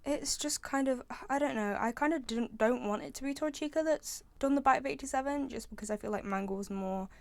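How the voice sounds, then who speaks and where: A woman's voice: sits high, a little fast, creaky, hardly any pauses, no room sound. A young woman giving casual, opinionated fan commentary on a game, chatty and repeating phrases for emphasis. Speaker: video creator, game fan.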